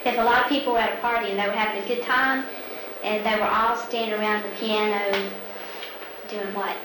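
A woman's voice: mid-range voice, steady tone, a little fast. A woman interviewer patiently coaxing answers with prompting questions. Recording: echoey, distant, noisy recording.

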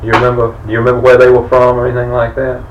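A man's voice: mid-range voice, completely flat delivery, racing, highs cut off. A man interviewing, asking questions with fillers and restarts. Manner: hesitant, many fillers and restarts as he asks. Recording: roomy, from far off the mic.

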